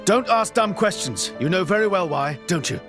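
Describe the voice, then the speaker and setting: A cocky young fantasy-game swordsman. His fairly high voice moves dramatically, protective and bold, with music behind. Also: expressive, normal speed. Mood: firm, protective.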